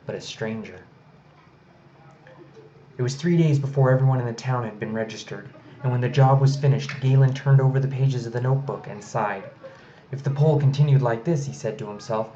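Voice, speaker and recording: A man's voice: mid-range voice, dark tone. A man reading a children's storybook aloud as a narrator. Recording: slightly noisy, dull home recording.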